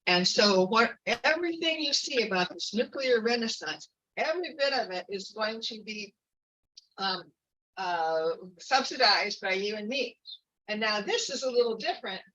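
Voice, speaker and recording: A woman giving an earnest, informal activist talk at a community meeting, halting with fillers and restarts. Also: medium pitch, animated, fairly bright tone, recorded from a distance, muffled recording. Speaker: activist speaker.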